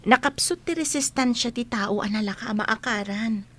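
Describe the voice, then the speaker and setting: A supportive young female friend for radio drama, Ilocano accent, lightly teasing, higher-pitched voice. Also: moderate pace, fairly bright tone. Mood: earnest. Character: caring young female friend of a sick student. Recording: noticeable background noise.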